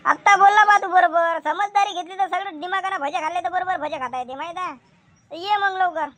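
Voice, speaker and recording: A woman acts out a grumbling male farmer from a village comedy cartoon. The voice is extremely high and bright, recorded very dry. The speech is loud, bossy and colloquial, in a Vidarbha Marathi accent. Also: brisk, no echo. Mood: boastful. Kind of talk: homemade rural comedy cartoon dialogue.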